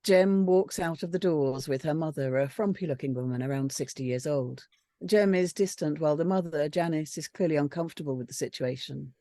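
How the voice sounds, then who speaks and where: A woman narrates stage directions from a script. Her pitch is a little low for a woman. The recording is very dry, with hardly any room sound. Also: a little fast, warm tone, no echo. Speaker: script panel reader reading stage directions.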